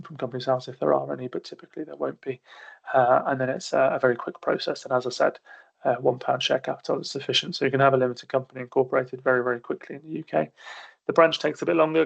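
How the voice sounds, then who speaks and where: A man's voice: medium pitch, creaky, dull audio. A man presenting a business webinar as an accountancy partner, methodically explaining with frequent small restarts while losing his voice. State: losing his voice, occasional coughs.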